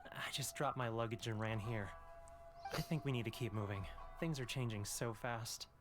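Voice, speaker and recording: Guy acting as tired shaken refugee in a game, medium pitch, clear music behind him. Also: moderate pace, lightly acted. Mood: frustrated, anxious. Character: weary, rattled male war refugee. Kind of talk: video game dialogue.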